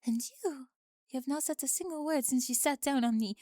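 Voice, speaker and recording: Woman acting as a young french exchange student, french accent, highish voice, blunt n dry wit, close dry audio, asmr roleplay. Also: brisk, dark tone, no room sound, close to an everyday voice. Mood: indignant, amused. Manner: blunt, precise, formal phrasing.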